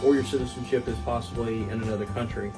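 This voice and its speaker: A man who is a mortgage specialist, giving steady explanations with frequent fillers as a podcast guest. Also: mid-range voice, quick pace, far from the mic, music in the background, poor audio. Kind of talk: explaining in an interview.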